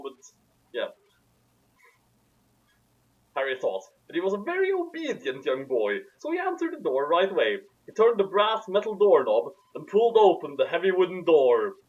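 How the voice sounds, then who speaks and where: A man's voice: high-pitched, sing-song, moderate pace, highs cut off. A man reading fan fiction aloud theatrically, with sarcastic asides. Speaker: narrator.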